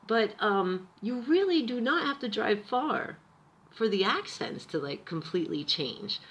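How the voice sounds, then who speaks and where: A woman's voice: medium pitch, a little slow, dull audio. An American woman from Pennsylvania, talking to camera in a chatty, emphatic vlog about her experiences abroad. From Pennsylvania, USA. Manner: chatty and emphatic, with lively asides. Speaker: vlogger. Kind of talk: casual storytelling monologue.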